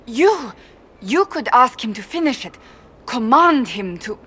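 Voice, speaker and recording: Higher-voiced stern, flustered woman warrior. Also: very animated, measured pace, fairly bright tone, breathy edge, heavily acted. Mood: sheepish, eager. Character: stern proud woman warrior, flustered secret romance reader. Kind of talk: video game voice acting.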